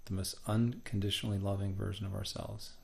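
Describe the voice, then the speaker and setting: Low, creaky, even-toned male meditation guide. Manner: gentle, unhurried phrasing with frequent pauses.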